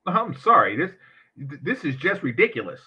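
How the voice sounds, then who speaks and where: Animated sarcastic man, high lively voice. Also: moderate pace, breathy edge. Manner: animated, sarcastic, mocking asides. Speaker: commentary channel host. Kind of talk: reaction commentary on a clip.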